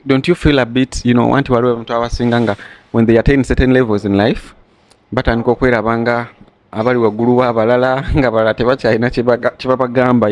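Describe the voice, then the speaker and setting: Ugandan male interviewer, medium pitch. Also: a little mellow. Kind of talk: casual interview, switching between languages.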